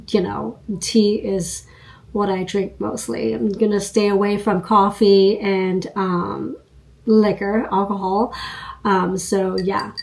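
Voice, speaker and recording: A woman's voice: medium pitch, gravelly, warm tone, poor audio. A woman in her fifties getting over a cold, nasal and congested, chatting casually to her viewers about her routine. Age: 50s. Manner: unhurried, chatty, frequent ums and you knows. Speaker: beauty vlogger. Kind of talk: casual chat to camera.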